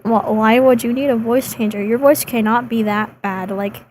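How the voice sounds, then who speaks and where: A woman's voice: a little high, moderate pace, darkish tone, a little hiss or hum. A young woman from Japan whose first language is Japanese, chatting bluntly and swearing freely in a casual conversation. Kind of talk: casual chat.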